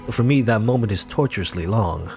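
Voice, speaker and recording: A man doing a brooding beast-man, low hushed voice, anxious, lively pitch, faint music behind. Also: fast, gravelly. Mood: fearful. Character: brooding male beast-man hiding as human.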